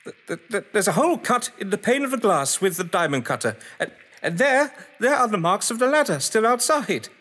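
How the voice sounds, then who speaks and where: A grandiose, sardonic gentleman of letters, played by a man in an audio drama. His voice sits high and swoops freely through eloquent, defensive speeches, ringing with long reverb. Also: sing-song, brisk, exaggerated voice. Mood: rattled, pleading. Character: haughty, theatrical games-obsessed male writer, defensive under suspicion. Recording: long echoing hall reverb.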